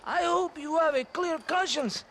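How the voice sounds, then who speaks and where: An actor playing a gloating, treacherous army captain with a Mexican accent, theatrical and taunting, in a video game. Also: male voice, very high voice, measured pace, heavy background noise. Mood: gloating, menacing. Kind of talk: villainous video game acting.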